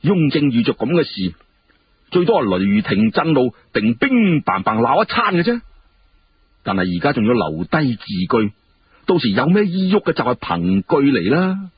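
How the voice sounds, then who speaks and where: A man with a Hong Kong Cantonese accent narrating a story dramatically, in a colloquial, expressive way full of exclamations. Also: mid-range voice, normal speed, breathy edge, no room sound, lo-fi sound.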